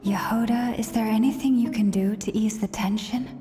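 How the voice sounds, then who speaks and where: A woman voicing a gentle, polite, perceptive young companion in a video game, soft-spoken and calm. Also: a little high, normal speed, natural voice. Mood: soothing. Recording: music playing behind the voice.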